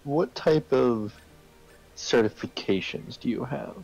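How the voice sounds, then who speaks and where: A man chatting casually as he streams a game, halting and often repeating his words. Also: medium pitch, gravelly, darkish tone, muffled recording. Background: video game music.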